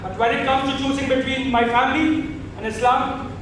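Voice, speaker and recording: An actor playing a pious, proud Mughal emperor, a wounded younger brother, solemn and declamatory in a stage play. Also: male voice, very high voice, steady tone, moderate pace, noisy background. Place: indoor theatre stage. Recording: echoey stage hall, distant mic.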